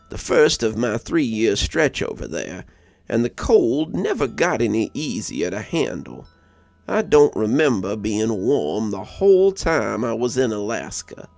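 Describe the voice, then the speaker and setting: Guy narrating a spooky story, gravelly creak, really animated pitch, bit of music behind. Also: dry recording. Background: music under the voice.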